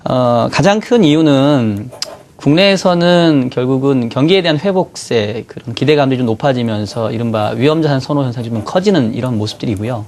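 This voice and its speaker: A man with a cold-roughened voice, a bond strategy guest in a TV studio, explaining market moves in a measured way with many fillers. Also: medium pitch, expressive, darkish tone. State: hoarse from a cold. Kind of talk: financial market analysis.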